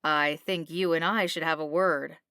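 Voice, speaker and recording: A woman's voice: low-pitched, steady tone, moderate pace, bright, forceful tone, smooth, no room sound, clean studio sound. A woman voicing a thin, authoritative male military captain in a storybook, sceptical, clipped and sardonic. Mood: dry, sceptical.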